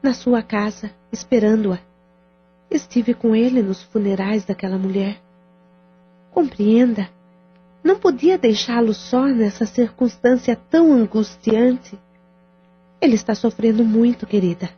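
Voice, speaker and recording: An actress playing a caring, sensible sister in an audio drama, speaking in a calm and reassuring way. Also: female voice, on the high side, a little slow, husky. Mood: gentle. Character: caring, sensible sister who supports her brother. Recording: dull lo-fi audio with faint music.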